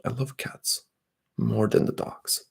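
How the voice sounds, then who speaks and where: A young man, chatting softly and often whispering on an ASMR livestream while reading out comments. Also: slow. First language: Bulgarian. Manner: soft-spoken, drifting into whispers. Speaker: ASMR streamer.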